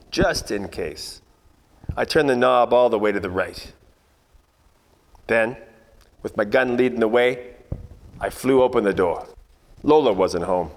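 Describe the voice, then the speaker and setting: A man playing a wisecracking, cocky 1940s private detective with wry, world-weary slangy delivery in a radio noir parody. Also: fairly low pitch. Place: theatre production shot before green screen. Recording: echoey hall, faint noise.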